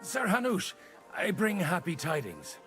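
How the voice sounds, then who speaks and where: An actor playing a shrewd, worldly priest in a medieval video game, wry and persuasive in manner. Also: male voice, high-pitched, wildly swooping pitch, measured pace, sharp tone, soft background music, full character voice. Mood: persuasive, pleased. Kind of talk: dramatic video game voice acting.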